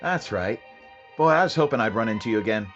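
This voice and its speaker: An actor playing a terse, businesslike police sergeant who narrates the case in a clipped, matter-of-fact 1940s radio drama style. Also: male voice, fairly low pitch, lively intonation, fast talker, natural voice. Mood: feigned casual. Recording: dull lo-fi radio sound with music bed.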